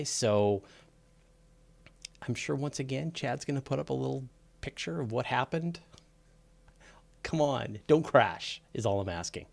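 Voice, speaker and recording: A man's voice: medium pitch, creaky. A man hosting a live question-and-answer show, explaining things in an informal, conversational way. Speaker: host of a live space and astronomy Q&A show.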